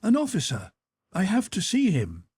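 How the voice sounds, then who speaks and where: A well-bred young officer of eighteen, as a male narrator voices him, pitched somewhat above the reader's own husky voice. He speaks politely and a little hesitantly, with a touch of vexation. The sound is close and dry. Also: huge pitch swings, moderate pace, darkish tone, no room sound, exaggerated voice.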